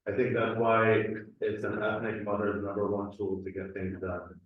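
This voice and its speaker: A young adult man from Cleveland reading a personal essay aloud at a community gathering, earnest and measured. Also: fairly low pitch, flat delivery. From Cleveland, USA. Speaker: community speaker. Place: community event hall. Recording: echoey hall, distant and dull mic.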